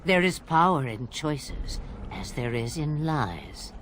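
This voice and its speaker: A woman's voice: low-pitched, expressive, slow pace, noisy background. A woman playing a cunning old witch in a fantasy game, with archaic, teasing, theatrical phrasing. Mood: sly, amused. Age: older. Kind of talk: dramatic fantasy game acting.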